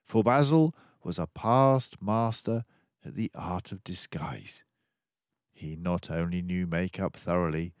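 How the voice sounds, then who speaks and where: An older man narrating a children's book aloud as an expressive storyteller. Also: sits low, no echo.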